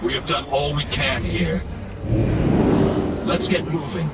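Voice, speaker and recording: A man's voice: a little high, slow pace, heavy background noise. A man voicing a stern, grave knight commander in a fantasy video game. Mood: grave, commanding. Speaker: game character. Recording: loud music under the voice. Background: loud game music.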